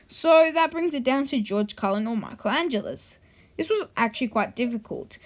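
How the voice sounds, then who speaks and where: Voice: medium pitch, a bit bright. A video host giving informal opinion commentary in a ranking video, with conversational asides.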